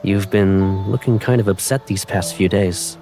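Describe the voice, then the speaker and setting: A man's voice: sits low, steady tone, unhurried. A man playing a teasing, affectionate young man, a protective childhood friend, in a fan dub of a romance game. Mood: gentle, encouraging. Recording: faint music behind.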